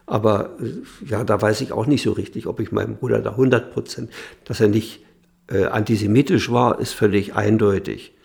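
A man's voice: fairly low pitch, steady tone, normal speed, creaky, a little mellow. A man speaking spontaneously in an interview, thoughtful and hesitant, feeling his way through sentences.

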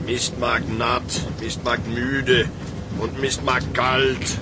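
An actor playing a stubborn, unshakeable sea captain with a German accent, terse and deliberate, in a radio drama. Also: male voice, medium pitch, little pitch movement. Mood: grim. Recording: very loud ship and weather noise.

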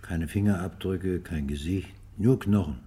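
Police inspector, deep and raspy, blunt, wary, gruff, radio crime drama. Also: male voice, unhurried, dark tone, exaggerated voice. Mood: matter-of-fact. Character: gruff, skeptical male police homicide inspector.

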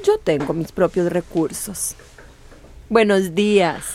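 An actress playing an insistent, defiant community activist in a radio drama, firm and confrontational. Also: female voice, on the low side, slow pace, darkish tone, slight background noise.

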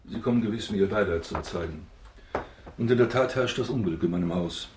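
An actor in a German radio drama playing a pompous, self-pitying banker baron, formal and theatrical. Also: male voice, fairly low pitch, a little fast, background noise, lightly acted. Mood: aggrieved.

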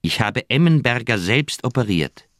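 An actor playing a conscience-stricken old doctor in a German radio crime drama, speaking in an earnest, heavy, troubled manner. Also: male voice, medium pitch, sing-song, normal speed, fairly bright tone, no room sound, clean studio sound. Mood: anguished. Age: older.

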